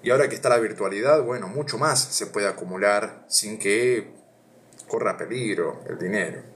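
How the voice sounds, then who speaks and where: Low-voiced Argentine man, hesitant live reading. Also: normal speed, a bit bright. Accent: Argentine Rioplatense Spanish. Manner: thinking aloud with many hesitations. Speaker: philosophy streamer reading aloud. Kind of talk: casual live reading and chat.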